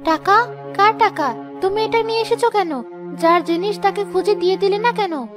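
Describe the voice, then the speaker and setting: A woman's voice: very high-pitched, normal speed, over music. A woman voicing a worried, poor young wife and mother in a cartoon moral tale, with a fretful, pleading manner. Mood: startled, fearful.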